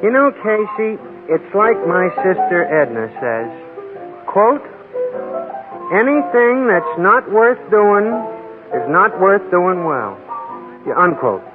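An American actor playing a folksy, inquisitive, simple-minded bartender in a 1940s radio crime drama. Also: male voice, high voice, sing-song, slow, exaggerated voice. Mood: folksy, musing. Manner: folksy, colloquial grammar. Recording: tinny old radio, loud music behind.

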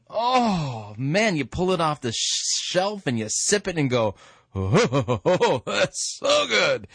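A man speaks as a radio host in a dry, close recording. His commentary is informal and slow, with drawn-out, theatrical emphasis. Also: on the high side, gravelly, fairly bright tone, husky, no room sound.

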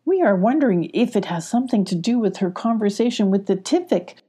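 A woman's voice: medium pitch, lively intonation, brisk, mellow, slightly rough, voice pushed up. A woman voicing a serious, worried animal in a children's storybook, speaking with earnest concern.

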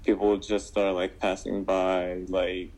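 A man's voice: deep voice, monotone, slow, a little mellow. A young man from Syria answering interview questions casually, with many fillers and restarts. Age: 20s. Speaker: guest.

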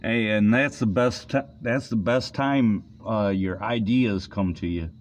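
A middle-aged man hosting an interview, easygoing and soft-spoken. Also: fairly low pitch, steady tone, a little slow. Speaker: interviewer, host. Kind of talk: casual interview chat. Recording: dull, slightly noisy line.

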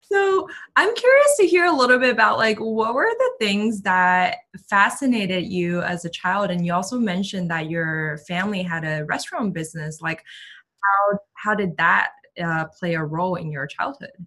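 A woman's voice: medium pitch, lively intonation, moderate pace, fairly bright tone. A woman hosting a podcast interview, asking curious questions with frequent "like" and repeated words.

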